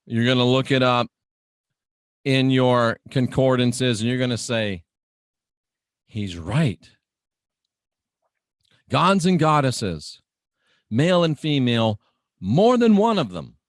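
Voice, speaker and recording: A man preaches in a radio monologue at an unhurried pace, in a medium-pitched voice with a dry sound. He is emphatic and theatrical like a showman, leaning on repeated phrases, weighty pauses and rhetorical questions aimed at his listeners. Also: lively intonation, dry recording. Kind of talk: dramatic sermon-like radio monologue.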